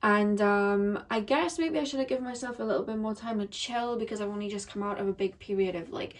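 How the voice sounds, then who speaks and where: A woman with a croaky, slightly shaky voice giving a chatty, rambling tarot reading to her viewers. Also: mid-range voice, a little fast, recorded from a distance. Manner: chatty, rambling with many ums and likes. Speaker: tarot reader. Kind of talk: pick-a-card tarot reading. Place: seated behind a camera at home.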